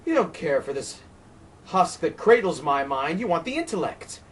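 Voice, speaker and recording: A man's voice: sing-song, moderate pace, breathy edge, far from the mic. A man voicing a frantic, terrified man who rages and pleads against the wind. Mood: feverish. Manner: shrill, shouting, panicked.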